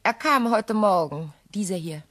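An actress playing an anxious but composed, recently widowed English shop owner in a German crime radio play, polite and measured. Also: female voice, medium pitch, animated, a bit bright.

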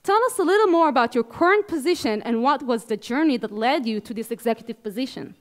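A woman's voice: high voice, expressive, fast, big-room sound. A young woman with an Israeli accent moderating a conference session on stage, rousing the audience with a handheld microphone in a large ballroom. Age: young adult. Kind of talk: conference keynote introduction.

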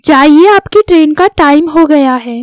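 A woman voicing a gentle, supportive young wife in a cartoon story, polite and reassuring. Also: sits high, unhurried, dry recording, studio quality. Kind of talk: scripted Hindi cartoon story dialogue.

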